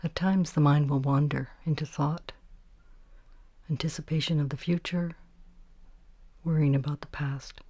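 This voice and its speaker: A woman guiding a meditation, giving gentle, unhurried instructions in short phrases with pauses between them. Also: sits low, dry recording. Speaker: meditation guide. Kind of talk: guided meditation narration.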